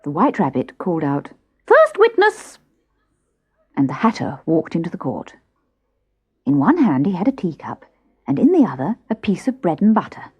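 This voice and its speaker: A woman's voice: low voice, sing-song, fairly quick, warm tone, breathy edge. A woman narrating a children's storybook expressively. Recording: dull cassette transfer with music. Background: music.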